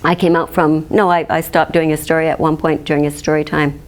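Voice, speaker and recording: A woman in her fifties gives a chatty lecture on storytime puppets, her voice pitched somewhat low for a woman. She is animated and laughs easily. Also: brisk. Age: 50s. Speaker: children's librarian teaching. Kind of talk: demonstration lecture.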